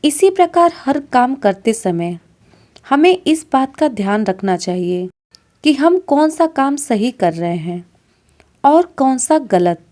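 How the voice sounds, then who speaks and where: ऊँची आवाज़ में धीरे कहानी सुनाती महिला. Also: female voice, high voice, sing-song, slow, warm tone. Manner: didactic, addressing listeners as friends. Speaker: storyteller. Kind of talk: scripted moral story narration.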